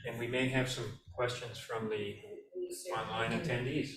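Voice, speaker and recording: A man moderating a public meeting, speaking in a measured way with pauses. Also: medium pitch, level tone, a crowd in the background. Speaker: event moderator. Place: meeting room with audience. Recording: echoey room, from far off.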